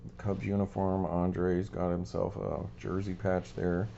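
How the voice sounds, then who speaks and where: Man, deep voice, scratchy today, rambling ums, muffled lo-fi sound, faint hiss. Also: level tone, measured pace, vocal fry, warm tone. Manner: easygoing, rambling with many ums. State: slightly scratchy voice. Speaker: card collecting channel host. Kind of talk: casual show-and-tell of cards.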